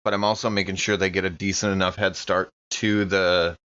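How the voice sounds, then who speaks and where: A man's voice: deep voice, flat delivery, a little fast, a bit bright, no echo. A man playing a tabletop game, casually describing what his character does, on a slightly dull recording. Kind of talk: casual roleplay chat.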